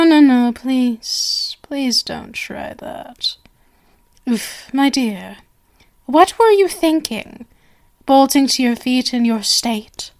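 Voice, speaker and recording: A woman playing a genial but sinister stage magician, possessive and doting, with flowery, coaxing endearments in an ASMR roleplay. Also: fairly high pitch. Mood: possessive, alarmed.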